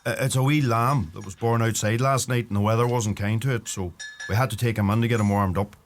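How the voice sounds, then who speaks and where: An actor playing a young, earthy farmer and youngest son, with a Donegal Irish accent and dry manner, in a radio play. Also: male voice, fairly low pitch, fairly even intonation, fast talker, natural voice. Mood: shy. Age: young adult.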